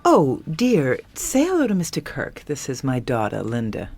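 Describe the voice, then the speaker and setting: Low-voiced, gracious flirtatious widow. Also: female voice, huge pitch swings, moderate pace, darkish tone, exaggerated voice. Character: gracious, flirtatious middle-aged widowed stepmother. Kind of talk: radio drama acting.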